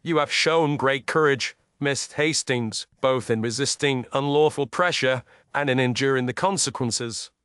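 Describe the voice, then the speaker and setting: A man's voice: mid-range voice, unhurried, fairly bright tone, smooth, dry recording, clean studio sound, lightly acted. A British man playing a composed, courteous Victorian detective with formal assurance in an audio mystery. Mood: grave, reassuring. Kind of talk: audiobook character acting.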